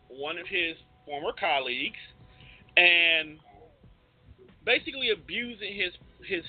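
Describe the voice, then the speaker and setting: An American man with a husky voice hosting an informal radio talk show, searching for his words as he goes. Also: on the high side. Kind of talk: casual topical talk. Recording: narrow tinny phone-like line.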